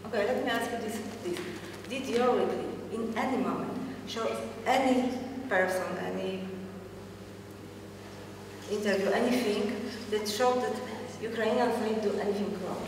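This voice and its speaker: A woman's voice: medium pitch, moderate pace. A woman putting probing, argumentative questions in a panel discussion. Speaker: panel participant. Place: gallery room with small audience. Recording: very echoey hall, distant, noisy.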